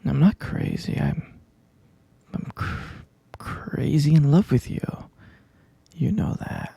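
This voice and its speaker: A man playing an obsessive, possessive kidnapper in an ASMR boyfriend roleplay, cooing slow, breathy, coaxing endearments to a captive lover. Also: on the high side, lively intonation, mellow, no echo, heavily acted. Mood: defensive, infatuated. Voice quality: breathy, fry-laden murmur. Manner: slow, coaxing, saccharine pet names. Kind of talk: ASMR boyfriend roleplay, intimate close whispering.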